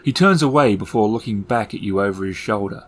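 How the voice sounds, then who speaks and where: A man narrating a tabletop game as game master in a measured, dramatic storytelling manner. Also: on the low side.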